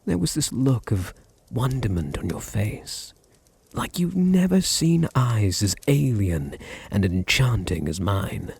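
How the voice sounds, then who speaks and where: A British man acting a seductive, domineering vampire master in an ASMR roleplay, breathy and often near a whisper, with purring, condescending endearments. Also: fairly low pitch, sing-song, slow, a little mellow. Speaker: audio roleplay voice actor.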